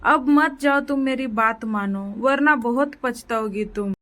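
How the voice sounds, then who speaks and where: A woman's voice: fairly high pitch, a little slow, fairly bright tone. Woman doing a meek henpecked crow husband. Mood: pleading. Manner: pleading, weary, worried.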